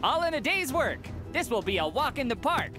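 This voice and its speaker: A woman's voice: sits high, sing-song, brisk, over music. A woman playing an earnest school-kid superhero in a cartoon game, melodramatic and heroic. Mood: earnest bravado.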